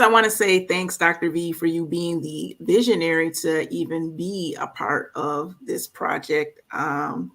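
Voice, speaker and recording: A woman speaking as a guest author on a radio show, giving an earnest, appreciative testimonial in a measured conversational way. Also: on the low side, fairly bright tone.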